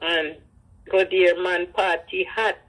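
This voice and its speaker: Husky older Jamaican woman. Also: on the low side, steady tone, takes it slowly, a bit bright, rough recording. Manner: speaks in patois, acts out dialogue. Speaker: grandmother telling her life story. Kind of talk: personal storytelling.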